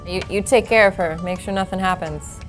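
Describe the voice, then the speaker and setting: A woman's voice: medium pitch, brisk, poor audio. A woman playing a pilot in a casual tabletop roleplaying game. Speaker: tabletop player as pilot character. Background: clear background music.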